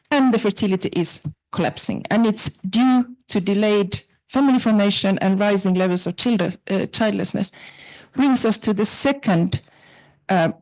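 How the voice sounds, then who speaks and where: Woman, audience comment, earnest, fluent, mid-pitched. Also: darkish tone, rough recording. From Finland. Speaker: audience member asking a question. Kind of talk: spontaneous comment from the floor.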